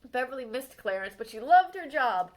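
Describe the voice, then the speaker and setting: Brief: female librarian, fairly high voice, animated children's story reader. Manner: expressive storytime reading, slowing on exciting moments. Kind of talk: children's read-aloud of a picture book biography.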